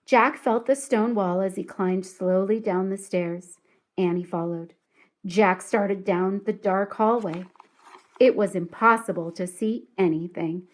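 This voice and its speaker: A woman reading a children's story aloud in an expressive, deliberate and dramatic storytelling style. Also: mid-range voice.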